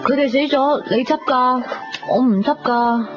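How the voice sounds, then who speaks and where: A woman's voice: sits high, moderate pace, lightly acted. An actress playing a girlish, candid, squeamish young woman in a radio drama, speaking Cantonese with a Hong Kong accent. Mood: squeamish, playful. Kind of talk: 1990s radio drama dialogue, conversational. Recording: muffled 1990s radio recording, music behind.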